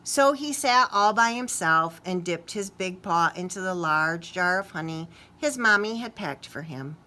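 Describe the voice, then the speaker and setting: A woman reads a picture book aloud for small children. Her voice is bright and she takes her time, telling it expressively and turning to ask her young listeners questions. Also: on the low side, lively intonation, a little slow. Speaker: children's story reader.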